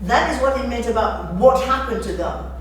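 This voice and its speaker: A woman's voice: on the high side, moderate pace. A woman giving an explanatory literary talk, emphatic and repeating phrases for effect. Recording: echoey room, distant mic, faint noise.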